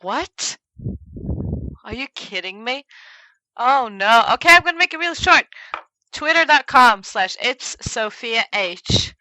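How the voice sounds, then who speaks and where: A woman's voice: a little high, lively intonation, slow, pauses often, bright, forceful tone, poor audio. A woman chatting casually and playfully as a panelist on a podcast. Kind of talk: casual chat.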